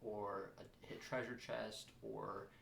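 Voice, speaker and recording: A man's voice: lowish voice, flat delivery, slow pace, gravelly, a bit bright. A man chatting casually as a podcast co-host, explaining things in a dry, understated way. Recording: roomy, echoey, faintly noisy home recording.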